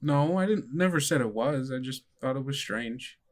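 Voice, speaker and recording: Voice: mid-range voice, animated, moderate pace, a little mellow, natural voice. A tabletop player voicing a prim, naive schoolkid with an earnest, hesitant manner. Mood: puzzled.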